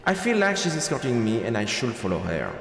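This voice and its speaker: A man streams a visual novel, commenting hesitantly and reading narration aloud. His voice has a creaky edge. He sits in an echoey room with long reverb and game music underneath. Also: mid-range voice, wildly swooping pitch, fairly quick, a bit bright. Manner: hesitant, thinking aloud while playing. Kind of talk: live let's play commentary and read-aloud narration.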